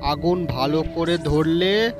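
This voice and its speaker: Man playing a stern police chief, loud music. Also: fairly high pitch, takes it slowly. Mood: menacing, commanding. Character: stern, scheming male police chief giving orders. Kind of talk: audio story acting.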